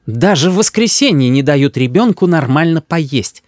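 A man's voice: a little high, expressive, moderate pace, a little mellow, no room sound, studio quality, voice pushed up, natural voice. A man doing a doting, protective old grandmother. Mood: grumbling. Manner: protective, coaxing and scolding.

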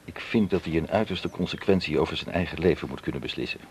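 An actor playing a calm, firm male confidant in a radio drama, gravelly and measured. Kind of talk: 1980s Dutch radio drama acting. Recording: faint rumbling background noise.

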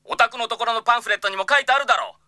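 A man voicing a pushy, irate tour customer who badgers in a gruff, rough way, in an audio drama. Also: extremely high pitch, racing, sharp tone, no echo, studio quality, exaggerated voice. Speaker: complaining tour customer on the phone.

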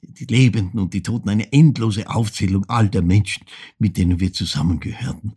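Older Austrian man haunted by memories; low, badly frayed husky voice, halting theatrical storytelling. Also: mellow. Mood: haunted, reflective. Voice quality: husky, creaky. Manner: halting, brooding, shifting into whispers. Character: older man from Vorarlberg, haunted, remembering his troubled mother. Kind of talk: theatrical monologue, intimate storytelling.

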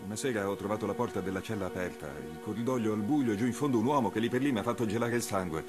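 A man's voice: lowish voice, very fast, close to an everyday voice. A man playing a weary, defensive revolutionary on the run in a radio drama. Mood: subdued, recounting. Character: weary fugitive revolutionary, evasive and defensive lover. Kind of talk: theatrical radio drama dialogue. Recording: radio play with music underneath. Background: music.

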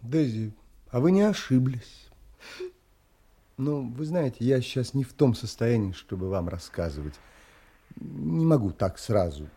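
An actor playing a reserved, romantic sea traveller in an audio drama, thoughtful and theatrical. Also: male voice, medium pitch, animated, a little slow, pauses often, dark tone, breathy edge. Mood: guarded, hesitant. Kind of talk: audio drama acting and narration.

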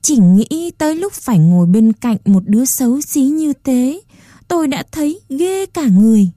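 A woman's voice: high-pitched, animated, moderate pace, warm tone, dry recording, studio quality, pitched above the performer's own voice. A woman voicing a vain, curly-haired female wooden doll who speaks with disdain. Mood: disgusted. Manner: disdainful, fussy.

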